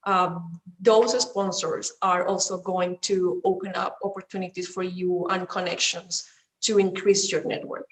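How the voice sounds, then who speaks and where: A woman's voice: lowish voice, little pitch movement, unhurried. Firm woman in an echoey room. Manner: firm, advice in short emphatic statements. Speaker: finance manager panelist. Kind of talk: panel discussion on a video call.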